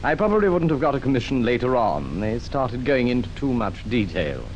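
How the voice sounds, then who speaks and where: A cocky male conman played by an actor tells of his swindles in an old radio drama. He is breezy, insinuating and boastful, with a very animated middle-pitched voice. The recording carries slight noise. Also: fairly quick, dull audio, close to an everyday voice. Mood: breezy reminiscing. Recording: old radio recording, faint noisy rumble.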